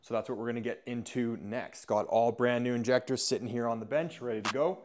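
A man's voice: mid-range voice, fairly even intonation, brisk. Casual North American man, mid-range voice. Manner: informal, upbeat. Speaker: host of a truck build channel. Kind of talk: casual vlog narration to viewers.

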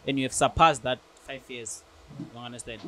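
A South African man in his thirties leads a relaxed conversation in a barbershop. His voice sits at a middle pitch, and he mixes English with Tsonga, often asking "you understand?". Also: brisk. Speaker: podcast host. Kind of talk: casual chat. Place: barbershop studio, indoors.

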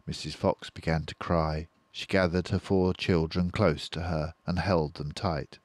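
An older man reading a children's book aloud slowly and expressively, close to the mic in a quiet room. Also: deep voice, no room sound. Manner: slow, expressive, doing all the voices. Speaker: storyteller.

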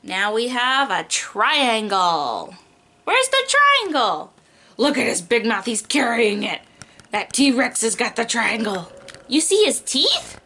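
A woman's voice: fairly high pitch, very animated, slow pace, sharp tone. A young adult woman, a preschool teacher doing a picture book read-aloud in an exaggerated, child-directed way, stretching key words.

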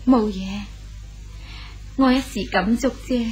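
An actress playing a loyal female companion of an old exile, sorrowful and gently pleading in a radio drama. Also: on the high side, takes it slowly, soft background music, low-quality recording. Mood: wistful.

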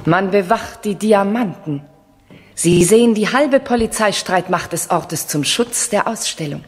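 An actress playing a haughty, shrewd English castle lady with a grand, measured manner in a 1970s German radio play. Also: medium pitch, animated, natural voice. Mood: reassuring. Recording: reverberant castle hall acoustics.

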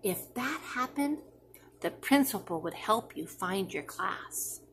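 A woman's voice: mid-range voice, expressive, slow, fairly bright tone, slight background noise. A woman voicing a patient, playful mother who reassures her child with thoughtful answers in a children's storybook. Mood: reassuring. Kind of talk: expressive children's storybook reading.

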